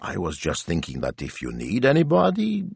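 A man voicing a gentle, amused grown daughter in an audiobook story, speaking in a careful, casual, tentative way. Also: on the high side, wildly swooping pitch, measured pace, no echo, pitched above the performer's own voice, heavily acted.

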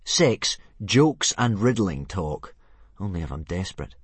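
A man's voice: low voice, sing-song, unhurried, breathy edge, no echo, heavily acted. Man doing exasperated young boy. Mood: weary. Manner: pleading, coaxing, sometimes yelling. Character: young boy dragon trainer, exasperated, coaxing.

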